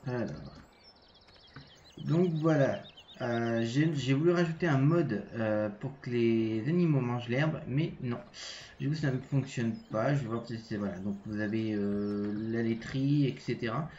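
A man's voice: mid-range voice, a little slow, dark tone, roomy sound, dull audio, slight background noise. Stuffy-voiced French man, hesitant commentary. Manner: hesitant, many fillers and restarts. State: has a cold. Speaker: gaming channel host. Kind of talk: casual game walkthrough commentary.

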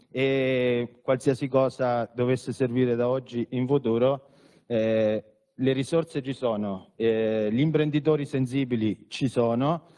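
A man, an entrepreneur, giving earnest remarks at a press conference through a handheld microphone, pausing often to hesitate. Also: medium pitch, dark tone. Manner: earnest, with frequent hesitation sounds. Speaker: entrepreneur and sponsor. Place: large conference hall with an audience.

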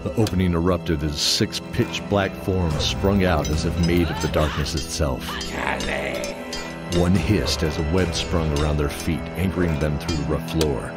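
A man's voice: a bass voice, steady tone, a little slow. A man giving scripted narration for a fantasy audio drama. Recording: music under the voice. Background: music.

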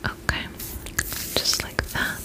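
A woman whispering gently and reassuringly in a breathy voice, as a spa attendant in an ASMR roleplay. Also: slow. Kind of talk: ASMR roleplay, personal-attention service talk.

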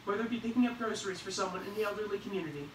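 A woman's voice: mid-range voice, very fast, reverberant, distant mic, noisy background. A woman delivering a formal, uplifting commencement address, played back on a TV. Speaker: commencement speaker.

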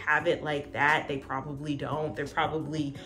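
A woman's voice: low voice, level tone, normal speed, bright, forceful tone, soft background music. A woman in her late twenties talking casually and candidly to camera as a vlogger, with colloquial, slangy phrasing. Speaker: host of a personal vlog channel. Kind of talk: casual opinion chat to camera. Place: room at home, indoors by a door.

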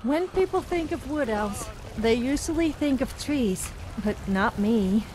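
A coy female wood elf from a fantasy video game. The voice is high and playful, with faint noise in the background. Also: animated, measured pace, a little mellow, poor audio.

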